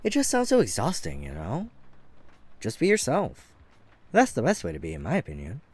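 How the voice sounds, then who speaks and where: Guy doing a sweet flirty catboy hairdresser, bashful and gentle, super animated pitch, really dry close mic, roleplay audio. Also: normal speed, slightly rough, dry recording. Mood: bashful, amused. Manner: gentle, bashful, earnest, chuckling at himself. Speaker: voice actor. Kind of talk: flirty ASMR-style roleplay audio drama. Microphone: close studio mic.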